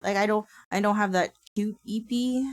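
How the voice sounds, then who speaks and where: A woman streaming from her desk, chatting casually. She sounds tired and a little raspy, swears freely and laughs often. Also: medium pitch, steady tone, normal speed, no room sound, clean studio sound. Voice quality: raspy when sleepy. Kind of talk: casual livestream chat.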